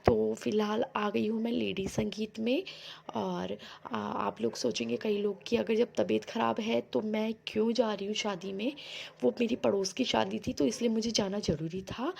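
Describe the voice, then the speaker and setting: A woman vlogging about her day in a casual, rambling way, a little hoarse from being unwell. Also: mid-range voice, fairly even intonation, moderate pace, hardly any pauses, darkish tone, a little hiss or hum. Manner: chatty, rambling, explains herself to viewers. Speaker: vlogger housewife. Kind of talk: casual daily vlog chat.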